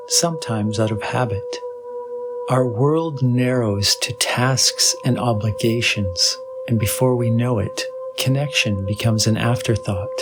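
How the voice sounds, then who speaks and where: A man leading a guided meditation in a soothing, measured way with long pauses, in a slow meditative style. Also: mid-range voice. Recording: voice over background music with singing bowl. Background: meditation music.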